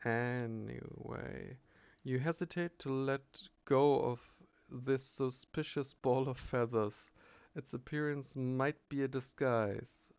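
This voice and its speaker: A man narrating a video game playthrough, reading the text aloud with casual asides and halting self-corrections. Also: mid-range voice, vocal fry, a little mellow, smooth, dry recording, muffled recording.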